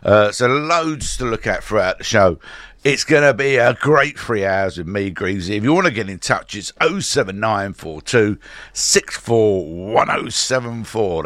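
A hoarse man with a slight cold hosting a local radio sports show, chatting casually and rambling with lots of filler sounds. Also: fairly low pitch, a bit bright, dry recording, clean studio sound. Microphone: studio microphone.

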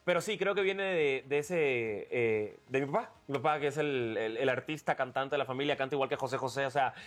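A man's voice: medium pitch, brisk. A man from Cuba, a guest entertainer, answering interview questions in a hesitant, casual way with many restarts and slang. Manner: hesitant, many fillers and restarts, laughing asides.

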